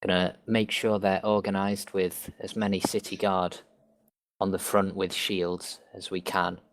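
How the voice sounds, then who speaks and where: A man playing a tabletop game over voice chat, calmly laying out tactics at length. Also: low voice, a little slow. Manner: laid-back, explains tactics at length. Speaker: player.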